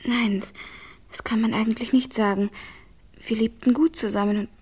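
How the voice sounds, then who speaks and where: An actress playing a newly widowed, distressed but composed younger wife in a German radio crime drama, halting and restrained. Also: female voice, on the high side, steady tone, a little mellow, husky. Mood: guarded, subdued.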